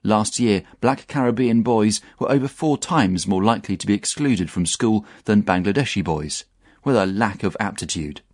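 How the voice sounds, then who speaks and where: A man reads a news article in a measured, even voice. His voice sits low and sounds a little husky. The recording is dry and close. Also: no echo. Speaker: narrator reading a news article. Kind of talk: scripted narration.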